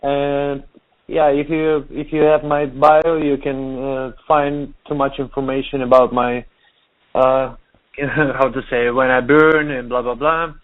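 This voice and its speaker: A man from Russia with a Russian accent, speaking halting English as a DJ being interviewed, pausing to search for words. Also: medium pitch, little pitch movement. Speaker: DJ and producer. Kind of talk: interview over the phone. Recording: tinny phone line.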